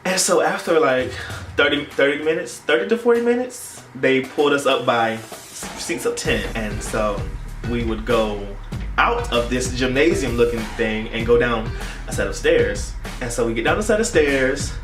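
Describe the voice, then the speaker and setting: The recording is echoey, with music behind the voice. A young man speaks at a middling pitch. He tells his story casually and dramatically, with playful, slangy turns of phrase, as a vlogger would. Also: animated, normal speed, a bit bright. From United States. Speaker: YouTube vlogger. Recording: roomy echo, distant mic, music behind.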